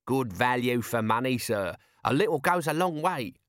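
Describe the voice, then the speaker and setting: A man as a guileless, chatty lowly watchman. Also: expressive, moderate pace, fairly bright tone, no room sound, studio quality, natural voice. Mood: pleased, earnest. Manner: earnest, chatty, unrefined. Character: guileless, deferential lowly male watch corporal.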